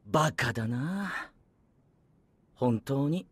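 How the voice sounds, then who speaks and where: Anime audio drama role: exasperated teen lad, highly animated delivery, blunt and sarcastic. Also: male voice, slow pace, breathy edge, no echo, heavily acted. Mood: weary, scolding. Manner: blunt, dry, explosive retorts. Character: sarcastic, exasperated teenage boy adventurer.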